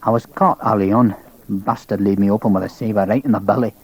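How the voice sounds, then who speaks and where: A man's voice: lowish voice, fairly even intonation, fairly quick, breathy edge. A man voicing a dying wounded captain with a Scottish accent, speaking weakly and matter-of-factly. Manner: weak, quiet, matter-of-fact.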